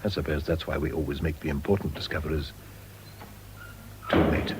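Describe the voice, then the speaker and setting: Very deep-voiced condemned prisoner. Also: male voice, fairly even intonation, a little mellow, slight background noise. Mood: earnest, reflective. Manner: measured, reflective, literary turns of phrase. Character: condemned male prisoner, philosophical, tender, resigned. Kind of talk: radio drama acting.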